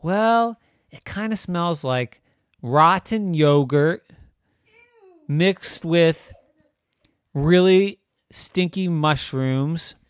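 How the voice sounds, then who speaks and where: A storyteller voicing a calm, matter-of-fact young boy who talks in a shrugging, playful way. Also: mid-range voice, normal speed, creaky, no echo, studio quality. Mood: offhand.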